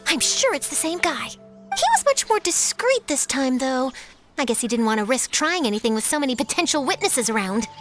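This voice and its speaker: Eager, very high-voiced young journalist. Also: female voice, big pitch swings, normal speed, over music. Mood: intrigued. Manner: perky, articulate, inquisitive. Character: eager, inquisitive young female newspaper journalist. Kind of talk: animated video game voice acting.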